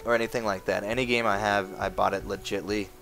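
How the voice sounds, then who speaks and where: A man with a lowish, hoarse voice chats loosely over his own gameplay, trailing off into fillers as he thinks aloud. Game music plays clearly underneath him the whole time. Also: fairly even intonation, quick pace, a bit bright. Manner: rambling, full of uh fillers, chatty. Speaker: gaming video maker. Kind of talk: casual gameplay commentary.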